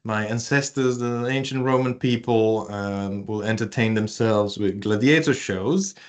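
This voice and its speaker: Italian-accented man, lowish voice, online workshop presenter, chatty and playful, lots of uhs and restarts. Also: unhurried. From Italy. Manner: chatty, many fillers and restarts, playful with audience. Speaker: research communication presenter.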